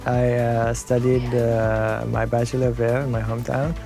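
A man's voice: medium pitch, flat delivery, measured pace, gravelly. A man in his twenties from Iraq with an Iraqi accent, speaking unscripted as an engineering student in an interview. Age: 20s. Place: busy classroom studio, indoors. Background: soft background music.

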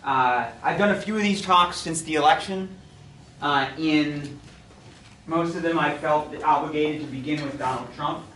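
Loud bright-voiced lecturer in echoey hall. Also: male voice, on the high side, normal speed. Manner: loud, unamplified, restarting phrases. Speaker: guest speaker, author. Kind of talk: public lecture. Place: community hall, indoors. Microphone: no mic, far camera. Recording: echoey hall, far from the mic, faint noise.

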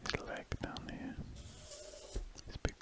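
A man with a British English accent whispers breathily in an ASMR style. He rambles casually and gently, musing in a hesitant way. Pen scratches on paper sit behind the voice. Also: takes it slowly. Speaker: ASMR artist. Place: small warm room at a desk, window shut.

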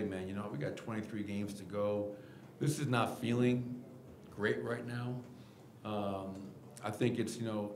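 A middle-aged man, a basketball head coach, answering reporters' questions at a post-game press conference podium with a table mic, candid and animated. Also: on the low side, roomy sound, a little hiss or hum. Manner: candid, animated, gestures while explaining. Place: press room at team podium. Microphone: table mic in front of him.

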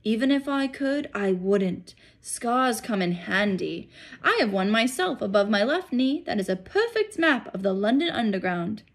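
A wise old storybook wizard, as a woman reader puts him on. He sounds calm and gently resolute, with a creaky edge to his words. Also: fairly high pitch, moderate pace. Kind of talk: storybook character reading.

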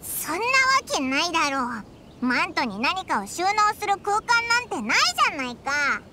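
A woman's voice: sing-song, normal speed, background noise. A woman voicing a small, cheeky, childlike floating companion in an anime game, blunt and boyish in speech. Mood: puzzled. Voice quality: squeaky, childlike. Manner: boyish rough speech, exclaiming and questioning. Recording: loud music behind the voice. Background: loud music.

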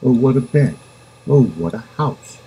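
A man reading a children's rhyming book aloud in a playful, sing-song manner with drawn-out words. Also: mid-range voice, lively intonation, mellow, husky, rough recording. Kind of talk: expressive storybook read-aloud in rhyme. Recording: home recording with background noise.

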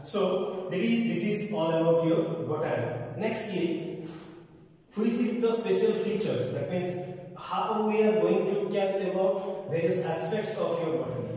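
A man's voice: a little high, mellow. A young man giving a whiteboard biology lecture and explaining step by step, with repeated phrases and fillers, picked up by a far camera in an indoor classroom. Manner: repetitive explanatory teaching with fillers. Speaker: biology teacher. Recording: echoey room, distant mic.

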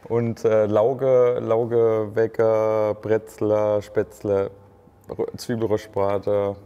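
A man's voice: lowish voice, monotone, very slow, dark tone, clear, resonant tone, muffled recording. A man with a Swabian accent, a rapper chatting casually as an interview guest in a large museum hall on a lapel mic. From Swabia, Germany.

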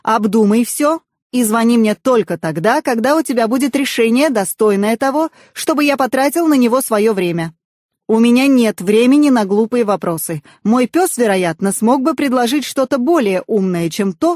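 Woman acting an arrogant, irritable businessman, pitched up. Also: expressive, moderate pace, darkish tone, no room sound, clean studio sound. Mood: angry, scornful. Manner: curt, scornful, demanding. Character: arrogant, irritable, wealthy businessman, always angry.

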